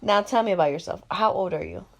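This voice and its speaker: A woman in her twenties from Florida hosting a podcast, chatty, with quick follow-up questions. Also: medium pitch, vocal fry. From Florida, USA. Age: 20s. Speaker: podcast host. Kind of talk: casual interview.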